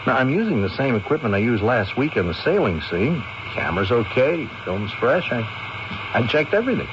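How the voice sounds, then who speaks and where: An actor playing a baffled, stammering cameraman, heard from a distance in a dull old radio broadcast with clear hiss. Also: mid-range voice, very animated, fairly quick, natural voice. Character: flustered cameraman puzzled by ruined film. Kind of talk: 1970s radio drama acting.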